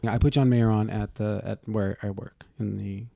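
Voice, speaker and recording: Podcast co-host, gravelly and relaxed voice, casual sarcastic comedic riffing. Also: medium pitch, moderate pace, dry recording, studio quality.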